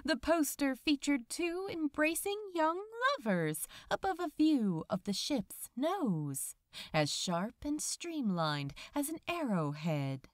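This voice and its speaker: A woman reading fiction aloud as a dramatic audiobook narration, with an expressive, lingering delivery. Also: high voice, takes it slowly, dry recording, clean studio sound.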